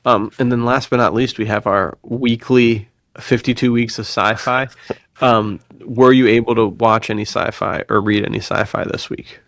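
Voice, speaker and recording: A man's voice: mid-range voice, fairly even intonation, moderate pace, a bit bright, no echo, studio quality. A man hosting a podcast in a casual, laid-back, bro-ish way, using plenty of slang. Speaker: podcast host.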